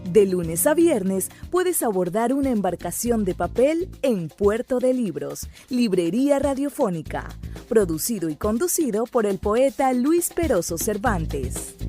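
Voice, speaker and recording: A woman's voice: mid-range voice, very animated, a little slow, dark tone, faint music behind. A woman reading a scripted radio promo as an announcer.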